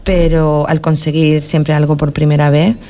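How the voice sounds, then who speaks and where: A woman from Spain hosting a community radio show, with a low, mellow voice. She talks in a reflective, casual way. She often restarts her words and checks in with her co-host. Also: level tone, normal speed. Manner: thoughtful, repeats words and adds tag questions. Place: local radio studio.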